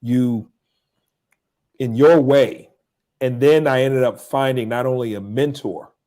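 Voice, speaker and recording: A man's voice: mid-range voice, steady tone, slow, mellow. A man on a live stream giving a heartfelt, earnest tribute to his friend and mentor, often repeating himself as he searches for words.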